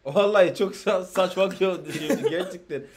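A young man co-hosting a reaction video on a couch indoors, excitable and quick to laugh. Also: high voice. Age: young adult. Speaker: vlog co-host. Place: indoor room, seated on a couch.

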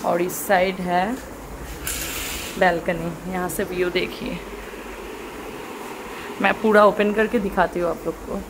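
A woman's voice: fairly low pitch, level tone, takes it slowly, long pauses. A young woman giving a chatty tour in a casual travel vlog, often exclaiming as she goes, filmed on a handheld phone. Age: young adult. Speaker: travel vlogger. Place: hotel room, then outdoor rooftop pool. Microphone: handheld phone at arm's length. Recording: noisy phone audio with ambience.

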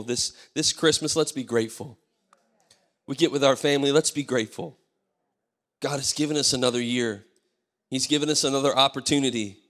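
Hoarse pastor preaching in a church hall. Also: male voice, mid-range voice, fairly bright tone. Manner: preaching with call-and-response, asking the crowd for amens. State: losing his voice. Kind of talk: sermon. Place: large church stage. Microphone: handheld mic. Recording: live church hall reverb.

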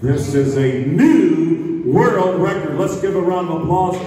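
A man working as an auctioneer, calling out bids in a drawn-out, chanting way, recorded from the audience. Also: fairly high pitch, background noise. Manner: drawn-out, chanting bid calls. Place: large hall with stage. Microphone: podium mic, filmed from the audience. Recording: echoey hall from far off.

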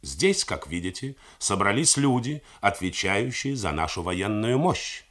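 Man voicing an older male head of state, solemn, persuasive, very low voice, radio play. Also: big pitch swings, unhurried, a bit bright, exaggerated voice. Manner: grave, persuasive speechmaking. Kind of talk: radio drama reading.

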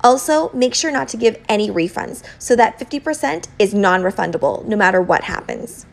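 A woman narrating scripted instructional tips as a home baker, explaining informally with clear emphasis on key points. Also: medium pitch, lively intonation, fairly quick.

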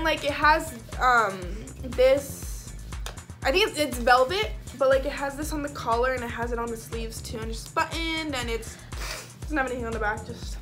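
A woman's voice: high voice, pushed and bright. A young American woman chatting in casual, slangy speech to the camera in a bedroom, as in a clothing haul vlog. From lives in Las Vegas, United States. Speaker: video blogger. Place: small bedroom, indoors. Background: background music.

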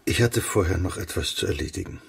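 Deep, husky, sober investigator. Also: male voice, animated, quick pace, recorded from a distance, full character voice. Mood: grave. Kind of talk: radio play acting.

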